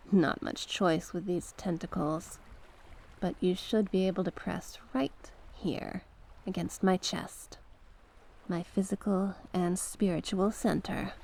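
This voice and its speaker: A woman plays a mysterious sea witch for an ASMR fantasy roleplay. The voice is on the low side and full of gravelly fry, moving very slowly. The witch coaxes and encourages with soothing, formal storybook care, softening at times toward a whisper. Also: exaggerated voice. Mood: tender, encouraging. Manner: gentle, coaxing, formal storybook phrasing. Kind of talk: ASMR roleplay, slow scripted monologue.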